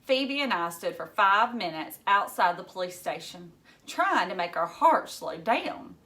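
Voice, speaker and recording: A woman teacher reading a story aloud to children in an expressive way, emphasizing key words. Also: medium pitch, measured pace.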